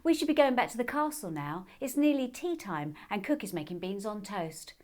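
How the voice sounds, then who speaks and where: A woman's voice: mid-range voice, big pitch swings, moderate pace, darkish tone, pitched above the performer's own voice, lightly acted. A woman voicing a sensible, bossy young girl in a children's story, firm and dismissive.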